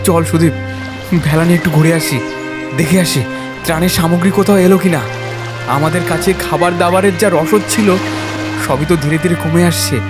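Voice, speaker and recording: A man's voice: on the high side, steady tone, fairly quick, pauses often, lightly acted. A man voicing an earnest, determined village man in a cartoon story, over music and storm sounds. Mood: grave, concerned. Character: earnest, determined village man, Somnath, urging his friend. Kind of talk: cartoon story character acting.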